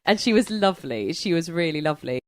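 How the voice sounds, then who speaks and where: A woman's voice: on the low side, lively intonation, quick pace, a crowd in the background. A woman guest on a book podcast, chatting informally and with enthusiasm about what she reads. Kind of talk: casual book chat.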